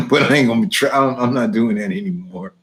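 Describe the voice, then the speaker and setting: A man's voice: mid-range voice, quick pace, soft background music. A middle-aged man from Houston with a Southern Texas accent, casually answering viewers' lawn care questions, laughing often. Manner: easygoing, laughs often, reads questions aloud then answers. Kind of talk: casual live Q&A chat.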